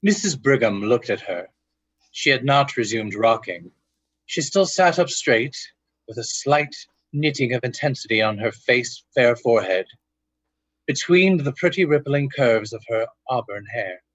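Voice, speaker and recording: An actor narrating an eerie period tale in the style of an old-time radio drama. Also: male voice, mid-range voice, animated, a little slow, no echo, lo-fi sound. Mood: measured suspense.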